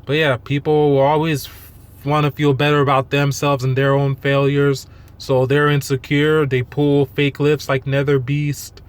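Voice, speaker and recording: A man giving an unscripted, rambling rant in a monotone, halting way, blunt and self-deprecating with casual swearing. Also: mid-range voice, smooth. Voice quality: monotone delivery. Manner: halting, rambling, loosely strung thoughts. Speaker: fitness vlogger.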